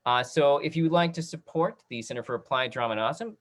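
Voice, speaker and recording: Halting man hosting an online talkback. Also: fairly high pitch, fairly bright tone. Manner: halting, repeats and restarts phrases, apologetic. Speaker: host moderating an audience Q&A. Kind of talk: informal spoken announcements in a virtual talkback. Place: online video call.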